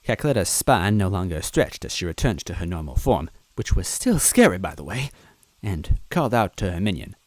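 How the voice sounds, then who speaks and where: A man reading a story aloud as narrator, expressive and adding little asides to the listener. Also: deep voice, normal speed, dry recording. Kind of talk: reading fan fiction aloud.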